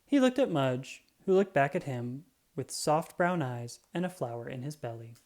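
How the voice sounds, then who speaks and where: A narrator reading a children's story aloud in an expressive, deliberate storytelling manner. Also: mid-range voice. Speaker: storybook reader.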